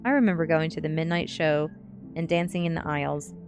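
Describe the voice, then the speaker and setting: A woman voicing a warm, youthful, exuberant bohemian aunt in a storybook reading. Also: sits low, fairly quick, music in the background. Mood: chatty.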